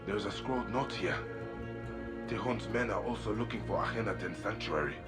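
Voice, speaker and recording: A man's voice: fairly low pitch, brisk, close to an everyday voice. An actor playing a grim, determined man investigating an ancient curse in a video game, with brooding intensity. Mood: brooding, focused. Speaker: game protagonist. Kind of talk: dramatic game acting. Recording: loud music behind the voice. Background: loud music.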